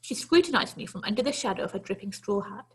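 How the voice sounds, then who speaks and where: Animated, husky woman reading a story. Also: mid-range voice, quick pace. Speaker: teacher reading to pupils. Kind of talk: expressive children's story narration.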